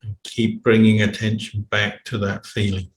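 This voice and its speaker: A man teaching a guided meditation in a measured, unhurried way, with long pauses between phrases. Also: fairly low pitch, flat delivery. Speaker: meditation teacher, Buddhist monk. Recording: roomy echo, faint background noise.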